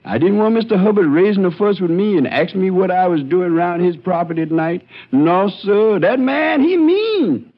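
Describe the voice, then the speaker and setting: An actor playing a humble, anxious drifter with a folksy, deferential drawl in a 1950s radio western, on a muffled old recording. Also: male voice, high-pitched, lively intonation, normal speed. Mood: earnest, defensive.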